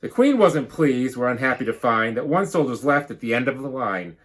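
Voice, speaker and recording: A man's voice: medium pitch, big pitch swings, moderate pace, fairly bright tone. A man reading a children's storybook aloud like a teacher, rhythmically, with explanatory asides.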